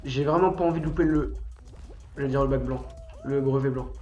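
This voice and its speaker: A man's voice: medium pitch, fairly even intonation, brisk. A teenage boy with a cold and a stuffy nose, rambling and swearing as he chats on a gaming stream. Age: teen. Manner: rambling, swears freely, bursts into angry shouting at the game. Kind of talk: casual gaming stream chat. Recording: roomy echo, dull lo-fi sound, faint music.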